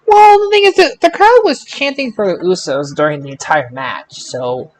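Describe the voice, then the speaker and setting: A man hosting a casual panel podcast about wrestling results, speaking in an emphatic, excitable way. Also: high-pitched, normal speed, low-quality recording. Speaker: podcast host.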